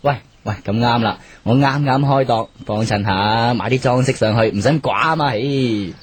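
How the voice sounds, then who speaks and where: A man's voice: medium pitch, moderate pace, husky, dry recording, natural voice. An actor playing a chatty, pushy but kindly street vendor in a radio drama, with a teasing, salesman-like way of talking. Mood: cheerful, pushy. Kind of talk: Cantonese radio drama acting.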